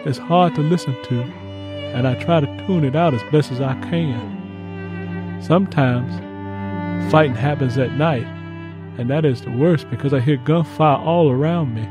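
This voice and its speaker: A man's voice: mid-range voice, measured pace, over music, close to an everyday voice. A man voicing a haunted eighteen-year-old soldier reading his letter home from war, sombre and plain-spoken. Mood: haunted, weary. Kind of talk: letter read in a dramatized audiobook.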